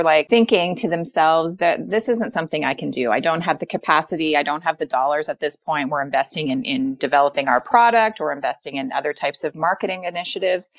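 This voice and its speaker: A woman's voice: sits low, no echo. A middle-aged woman guest consultant answering interview questions in an earnest, explanatory way, often repeating words as she thinks.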